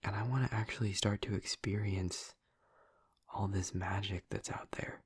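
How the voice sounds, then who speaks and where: A man playing a gentle, flirty, earnest vampire in an intimate audio roleplay, murmuring softly and hesitantly in a breathy, gravelly voice. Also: fairly low pitch, fairly even intonation, no room sound, studio quality. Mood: earnest, vulnerable. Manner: soft, hesitant, halting, reassuring.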